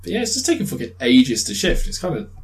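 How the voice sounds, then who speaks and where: A man's voice: mid-range voice, huge pitch swings, quick pace. A young man with a blocked-up nose from a cold, chatting casually as podcast co-host, laughing often and swearing freely. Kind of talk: casual chat.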